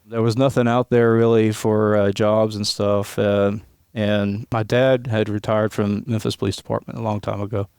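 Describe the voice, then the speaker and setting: Low, even-voiced man from Tennessee. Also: normal speed, hardly any pauses, clear, resonant tone, no echo, clean studio sound. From West Tennessee, USA. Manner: relaxed storytelling, chuckles often, many restarts. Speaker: police aviation unit leader, guest. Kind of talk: casual podcast interview. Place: hangar office.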